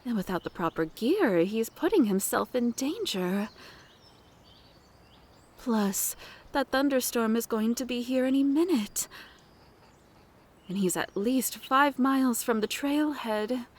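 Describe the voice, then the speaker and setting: A woman plays a mature, motherly woman of the woods in an ASMR roleplay. Her voice sits fairly high and she takes her time over every phrase. She is flirtatious and doting, coaxing the listener with pet names. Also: animated, takes it slowly, pauses often. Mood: admiring, concerned.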